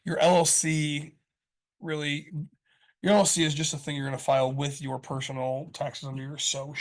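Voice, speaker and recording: A man's voice: a little high, little pitch movement, moderate pace. A man rambling casually on a podcast as a guest, swearing freely, with lots of ums and restarts. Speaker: guest explaining business topics.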